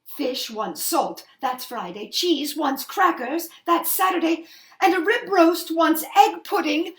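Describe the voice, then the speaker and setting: A woman voicing a desperate, determined storybook mother with loud, pleading, drawn-out calls. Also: high voice, echoey room, voice pushed up. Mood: triumphant. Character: desperate, determined mother demanding her children back.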